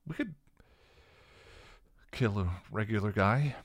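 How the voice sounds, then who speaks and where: A man's voice: lowish voice, expressive, takes it slowly, dry recording, clean studio sound, pitched above the performer's own voice. A man voicing a flustered young man who rambles in a slightly whiny way. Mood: hesitant.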